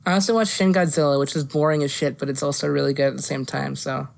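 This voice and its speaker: A woman's voice: deep voice, fairly even intonation, fast talker, creaky. A woman co-hosting a podcast, chatting casually about movies in a blunt way and swearing freely. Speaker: podcast co-host.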